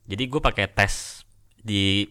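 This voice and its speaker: A man's voice: lowish voice, slow, bright, forceful tone, smooth. A young man with a Jakarta Indonesian accent, chatting casually and jokingly with friends in slang as a podcast host. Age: young adult.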